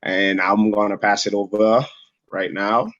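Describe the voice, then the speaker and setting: A man from the Bahamas gives a short panel introduction. His voice is fairly low and very flat in tone. The call sound is dry and a little dull. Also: measured pace, dry recording. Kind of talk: brief self-introduction. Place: online video call.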